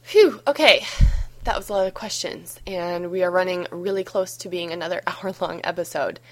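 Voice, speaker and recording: A woman with a slightly low voice hosts a solo gardening podcast. A cold has left her a little hoarse. She chats casually and easily, often pausing on an um. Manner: relaxed, chatty, with frequent ums. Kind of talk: solo podcast advice talk.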